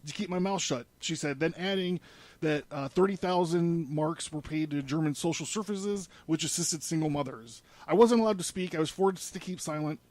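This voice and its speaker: Guy with a highish voice reading out news stories on a podcast, casual asides, dry close studio sound. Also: moderate pace, darkish tone, dry recording. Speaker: podcast presenter laying out a case.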